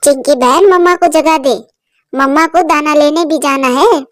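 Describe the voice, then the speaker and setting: A woman voicing a small, excitable boy bird in a cartoon story, speaking in a high, pleading, tearful voice. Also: normal speed, no echo, clean studio sound, lightly acted. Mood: childlike.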